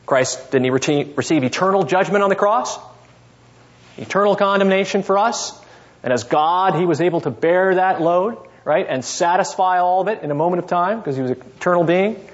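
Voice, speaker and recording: A man's voice: high-pitched, a little fast, highs cut off. A man teaching a seminary class, explaining step by step and often checking in with his listeners. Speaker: seminary professor. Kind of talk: classroom lecture on scripture.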